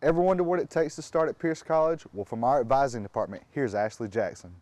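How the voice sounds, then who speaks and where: Fast-talking male show host. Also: mid-range voice, a little mellow, dry recording. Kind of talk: scripted segment introduction.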